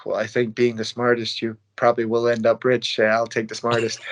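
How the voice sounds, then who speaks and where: A man's voice: medium pitch, completely flat delivery, a little fast. A man from Denmark in his late twenties answering interview questions as a professional ice hockey player, casual and laid-back. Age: late 20s. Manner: laid-back, not loud.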